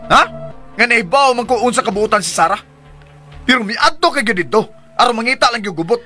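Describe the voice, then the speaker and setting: An exasperated, defensive boyfriend in a radio drama. His voice is high and bright, and he scolds his partner with faint music behind him. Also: animated, a little fast, heavily acted. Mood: angry, defensive. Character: exasperated, defensive two-timing boyfriend, adult working man. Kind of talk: Philippine radio drama acting.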